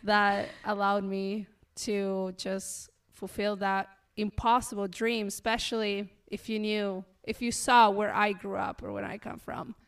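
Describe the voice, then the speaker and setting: Young woman from argentina, smooth clean voice, easygoing and sincere. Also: mid-range voice, unhurried, hardly any pauses. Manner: relaxed, earnest, many ums. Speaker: guest. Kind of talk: casual interview storytelling.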